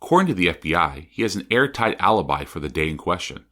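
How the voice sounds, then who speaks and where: An actor playing an earnest, probing true-crime podcast host, narrating and interviewing in a measured, serious way. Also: male voice, low voice, sing-song, sharp tone, full character voice.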